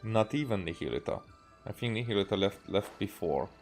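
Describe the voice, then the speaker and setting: A man with a fairly low voice gives commentary on a game. He thinks aloud haltingly, circling back and repeating words. Soft music runs faintly behind him. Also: animated. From Hungary. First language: Hungarian. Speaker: video game streamer. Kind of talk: rambling gameplay commentary.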